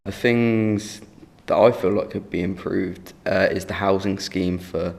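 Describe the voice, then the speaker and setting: Brief: 19-year-old man, very deep voice with gravel, flat and halting. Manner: halting, with fillers and restarts. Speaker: care leaver. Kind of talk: personal testimony for a short film.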